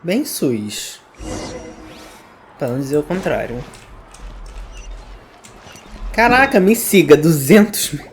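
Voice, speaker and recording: A man's voice: a little high, sing-song, moderate pace, soft background music. Sarcastic Brazilian man commenting on a game. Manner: sarcastic, teasing. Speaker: gaming YouTuber. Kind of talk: casual playthrough commentary.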